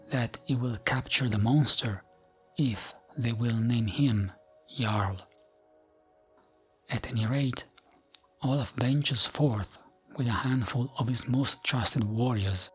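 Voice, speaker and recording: A man tells fantasy legends in a soft, soothing ASMR style. His delivery is very unhurried and hushed, often dropping into a whisper. Music plays behind his mid-pitched voice. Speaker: ASMR gaming narrator. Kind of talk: ASMR storytelling, scripted narration of fantasy lore.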